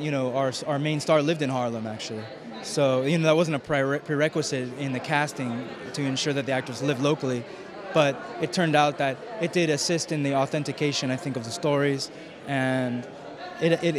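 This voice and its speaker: A man giving a festival interview as a film director, speaking haltingly as he searches for words. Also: a little high. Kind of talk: red carpet interview. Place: film festival red carpet. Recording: busy crowd and urban noise behind. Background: crowd chatter.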